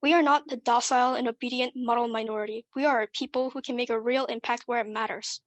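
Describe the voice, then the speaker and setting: A woman's voice: on the high side, fairly even intonation, fast, no room sound. A young woman delivering a prepared competition speech in an earnest, persuasive way. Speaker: student speaker.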